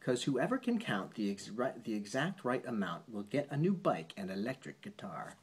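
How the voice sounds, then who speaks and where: A quirky male professor from a children's rhyme, read aloud by a man. He announces his challenge in a raised, husky voice with a lively rise and fall. Eccentric and showy, he loves a contest. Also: medium pitch, normal speed. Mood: challenging.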